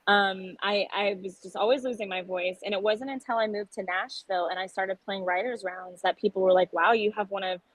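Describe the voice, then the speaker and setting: A woman's voice: mid-range voice, steady tone, a little fast, hardly any pauses, no echo, low-quality recording. A young woman from San Antonio, a country singer-songwriter, talking openly and earnestly in a casual podcast interview. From San Antonio, Texas. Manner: open, earnest, talkative. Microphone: podcast microphone.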